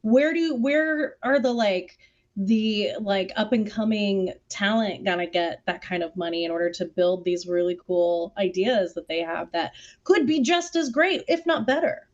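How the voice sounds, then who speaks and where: A woman with a mid-pitched voice talks casually in a panel discussion. She is emphatic and informal, peppering her sentences with "like". The audio is a little dull and lo-fi. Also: expressive, unhurried. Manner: informal, heavy use of "like", emphatic. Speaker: theatre artist on a discussion panel.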